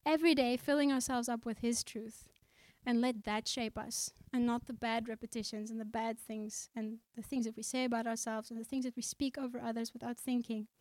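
A woman's voice: on the high side, level tone, a little mellow, no echo. Earnest woman preaching a sermon. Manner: earnest, slows down to read scripture aloud; conversational, with fillers and restarts.

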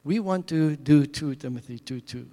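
A man's voice: on the high side, normal speed, warm tone. An Afrikaans-accented man talks earnestly through a slide presentation for a church audience. He often restarts and repeats his words, chuckling between thoughts. His voice rings with a long reverb from a large hall. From South Africa. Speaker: mission speaker.

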